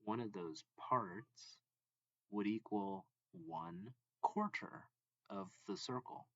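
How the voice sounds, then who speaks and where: Slow, low-voiced man reading a lesson. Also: very animated, creaky, no room sound, muffled recording. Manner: deliberate, broken into short phrases. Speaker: math reading tutor. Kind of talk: slow read-aloud of a textbook lesson.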